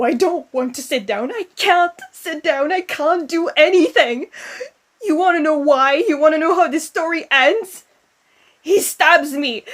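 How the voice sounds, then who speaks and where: Woman acting a high-voiced, haunted young ghost woman. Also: fairly bright tone. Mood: angry, agitated. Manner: halting, hesitant storytelling with stammered repetitions. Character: distraught young female ghost, traumatized and lonely. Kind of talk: emotional audio roleplay acting.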